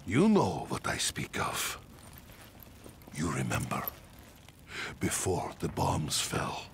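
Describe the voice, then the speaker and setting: An actor playing a sinister, regretful mastermind in a video game, speaking in a precise, formal and theatrical way. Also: male voice, a bass voice, wildly swooping pitch, takes it slowly, creaky, a little hiss or hum, exaggerated voice. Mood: sorrowful, intense. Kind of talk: dramatic video game cutscene acting. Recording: game audio under heavy music.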